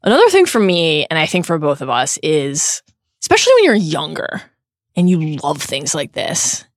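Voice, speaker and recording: A woman podcast co-host speaking earnestly, her pitch leaping and falling with feeling. Her voice sits a touch low for a woman. The sound is dry and close. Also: wildly swooping pitch, no echo. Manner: earnest and emphatic, reads listener tallies with drawn-out stress. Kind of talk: heartfelt podcast chat.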